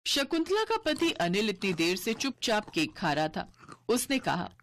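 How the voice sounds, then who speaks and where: A woman's voice: medium pitch, sing-song, unhurried, fairly bright tone, a little hiss or hum. A woman narrating a scripted cartoon story as its storyteller.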